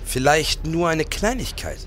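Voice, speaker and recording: A man's voice: fairly high pitch, big pitch swings, unhurried, fairly bright tone, slight background noise. A man playing a courteous, persistent police detective who probes politely in a historical radio play. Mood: probing.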